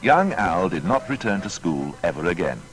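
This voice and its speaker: A man reading a scripted biography as narrator, in a measured and formal storytelling manner. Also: deep voice, sing-song, faint music behind, low-quality recording.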